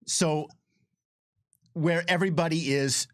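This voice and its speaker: A man, a game developer, telling stories in an emphatic, animated way in a casual unscripted livestream chat. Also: a little high, slow pace, dry recording, clean studio sound. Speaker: game developer giving commentary.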